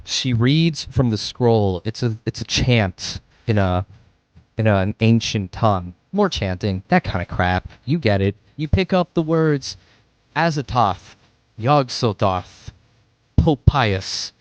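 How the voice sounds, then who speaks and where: A man's voice: fairly low pitch, expressive, takes it slowly, no echo. A man narrating a tabletop game as game master, improvising with informal, offhand asides.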